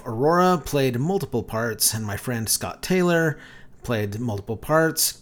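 Animated male podcast host. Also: medium pitch. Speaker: podcast host and show director. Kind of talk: podcast introduction, narration.

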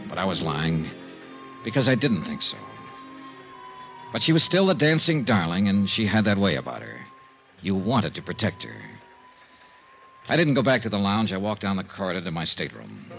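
An actor playing a tough, wry investigator, clipped and matter-of-fact, in a 1950s radio detective drama. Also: male voice, low voice, very animated, moderate pace, vocal fry, long pauses, a bit bright, faint music behind, heavily acted, low-quality recording. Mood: wry, hard-boiled. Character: tough, wry male insurance investigator narrating his case.

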